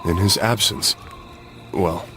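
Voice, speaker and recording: A man's voice: low voice, takes it slowly, over music. An actor playing an earnest, stubborn young crusader nobleman in a video game, serious and resolute. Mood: somber. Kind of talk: video game cutscene acting.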